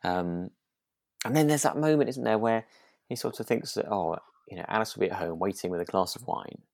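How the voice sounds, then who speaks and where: A woman co-hosting a relaxed podcast chat, speaking in a tentative, conversational way. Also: rumbling low, a little fast, creaky, no echo, studio quality. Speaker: podcast co-host. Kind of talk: relaxed chat discussing a radio drama.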